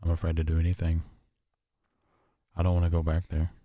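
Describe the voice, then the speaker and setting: A man playing a shaken, paranoid survivor recording a horror audio log, speaking haltingly with long pauses. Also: sits low, monotone, warm tone, no room sound. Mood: haunted, afraid. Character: shaken, paranoid male survivor of a nightmare realm.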